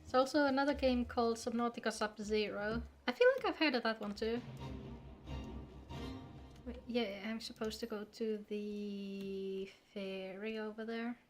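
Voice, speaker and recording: A woman with a fairly high voice talks casually as she streams a game. Her manner is playful and informal, with some loose swearing. Music plays behind her. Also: fairly even intonation, measured pace. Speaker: live streamer playing an online game. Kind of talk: casual gaming livestream chat.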